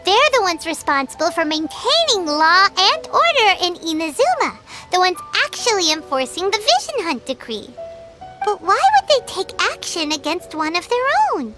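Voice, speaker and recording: A woman voicing a small, curious, chatty childlike fairy companion with a squeaky voice in a video game. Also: very animated, normal speed, loud music behind the voice. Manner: refers to self by name, inquisitive. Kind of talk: video game cutscene dialogue.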